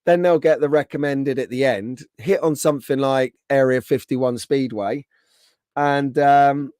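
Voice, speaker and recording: A man hosting a casual live stream, greeting viewers by name, his voice slightly hoarse from being under the weather. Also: medium pitch, steady tone, a little slow, darkish tone, no room sound, studio quality.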